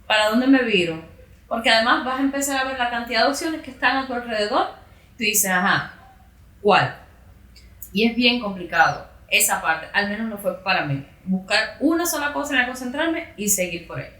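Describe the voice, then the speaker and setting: A woman's voice: fairly high pitch, lively intonation, a little fast, bright, forceful tone, echoey room, distant mic, low-quality recording. A woman, a guest explaining in earnest how newcomers learn to cope. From Cuba. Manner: earnest, advising tone. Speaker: guest, emigrant mother. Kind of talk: casual conversation.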